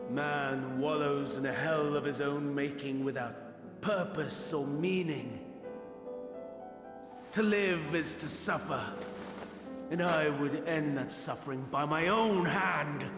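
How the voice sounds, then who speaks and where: An actor playing a despairing, grandiose villain in a video game, delivering a solemn, theatrical, weary monologue. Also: male voice, a little high. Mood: anguished, condemning. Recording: echoey hall reverb with music behind.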